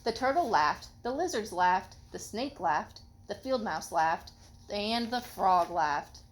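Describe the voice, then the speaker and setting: A woman reading a children's story aloud expressively and pausing to ask a child questions in a teacherly way. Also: mid-range voice. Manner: expressive, instructive, acts out the dialogue.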